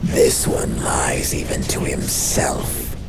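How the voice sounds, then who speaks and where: A man voicing a sly, mocking, ancient ghoul leader in a horror radio play, with a guttural, hissing, whispery delivery. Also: lightly acted. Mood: menacing, taunting. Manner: slow, taunting, drawn-out delivery. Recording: music under the voice.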